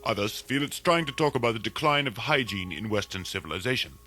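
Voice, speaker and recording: A man's voice: lowish voice, animated, fast, pushed and bright, faint music behind. A man playing a pompous mock-scholarly presenter introducing a comedy radio play. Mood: dry, pompous. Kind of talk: scripted comic introduction.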